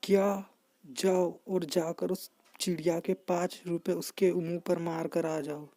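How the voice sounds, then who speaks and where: A woman's voice: fairly low pitch, flat delivery, takes it slowly, mellow, dry recording. A woman voicing an irritable, commanding king who gives harsh orders in a children's cartoon story. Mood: angry, commanding. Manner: imperious, commanding.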